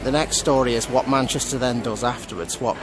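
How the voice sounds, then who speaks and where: A man gives an unscripted on-location news report, speaking haltingly and repeating words as he goes. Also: mid-range voice, fairly even intonation. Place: outdoors in a busy city square. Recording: outdoor city crowd and traffic noise. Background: crowd and traffic.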